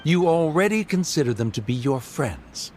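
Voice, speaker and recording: A man's voice: fairly high pitch, big pitch swings, normal speed, darkish tone, faint music behind. A man playing a stern, haughty figure in a video game, formal and condescending. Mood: disbelieving. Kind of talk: scripted video game dialogue.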